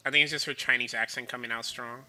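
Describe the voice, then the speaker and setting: A man's voice: mid-range voice, fairly even intonation, quick pace, sharp tone, soft background music, low-quality recording. A young man hosting a livestream, asking questions and teasing his friend, greeting viewers. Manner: teasing, inquisitive, greets chat. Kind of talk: casual gaming chat.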